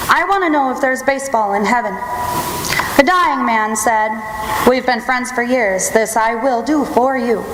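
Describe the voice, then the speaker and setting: High-voiced woman hosting in echoey hall. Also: moderate pace. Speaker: host of live radio show. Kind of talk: scripted comic announcing and joke telling. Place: theatre stage before live audience. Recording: echoey hall live stage recording, noisy.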